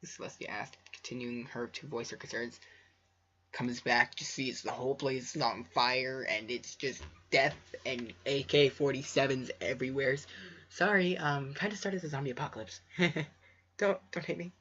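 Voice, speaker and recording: Man, low-fi muffled audio, husky creaky voice, amateur story reading, rambling asides, stumbling delivery. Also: fairly even intonation, moderate pace, pushed and bright. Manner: stumbling over words, rambling, self-deprecating. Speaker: amateur fanfiction reader. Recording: muffled lo-fi home recording.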